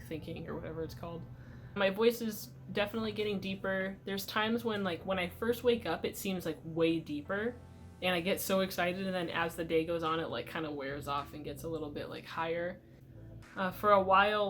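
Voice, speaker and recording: A young man talking casually in a video diary update, chatty and relaxed, with many "like" and "um" fillers. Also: sits high. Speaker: vlogger.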